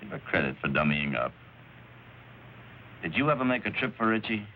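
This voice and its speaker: Low-voiced hard-boiled crime boss, old film. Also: male voice, very animated, moderate pace. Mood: cool, businesslike. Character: cool, commanding middle-aged male crime boss. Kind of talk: 1940s film noir acting. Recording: noisy, dull old film soundtrack.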